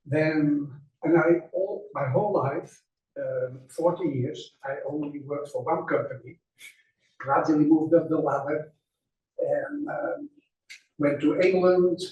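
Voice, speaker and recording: An older man with a Dutch accent speaks at a gallery gathering, telling his story in a loose, unhurried way. His voice sits a little high for a man. It is picked up from a distance in an echoey room. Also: dull audio. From Netherlands. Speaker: exhibiting artist. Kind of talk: self-introduction at a gallery talk.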